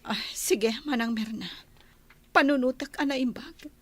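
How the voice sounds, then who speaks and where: An actress playing an anguished, fearful wife in an Ilocano radio drama. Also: female voice, high voice, measured pace, a bit bright, husky, no echo, heavily acted. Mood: troubled, pleading.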